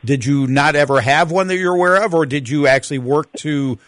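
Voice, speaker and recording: A man's voice: a little high, brisk, fairly bright tone, dry recording. A man hosting a morning radio show, interviewing a guest in an easygoing way with many fillers. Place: radio studio.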